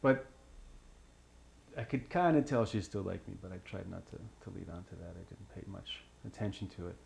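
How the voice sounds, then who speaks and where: A man's voice: deep voice, lively intonation, normal speed, gravelly, a little mellow, slight background noise, full character voice. A man playing a mild, candid interviewee in an audio drama, speaking in a hesitant, reflective way. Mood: wistful.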